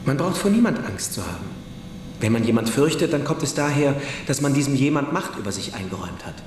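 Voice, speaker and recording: A man playing a precocious older schoolboy in a radio play, calm and mysterious, deliberate and quietly superior as he persuades. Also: medium pitch, natural voice. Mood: intriguing, persuasive. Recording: echoey room, faint noise.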